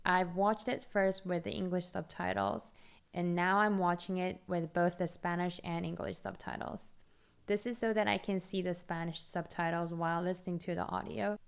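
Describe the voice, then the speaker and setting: A woman whose first language is Korean, calmly walking through a tutorial as a scripted voice-over, explaining steadily step by step. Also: on the low side, moderate pace, vocal fry, smooth. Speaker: language-learning video host.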